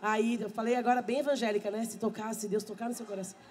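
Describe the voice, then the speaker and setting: A middle-aged woman singer, hoarse from many shows, chatting fast and laughing with a live audience through a handheld mic. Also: a little high, fairly even intonation, darkish tone. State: hoarse, losing her voice. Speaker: samba singer and show host. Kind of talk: chatty stage talk between songs at a live show. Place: bar stage with a live audience, indoors. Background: live samba band.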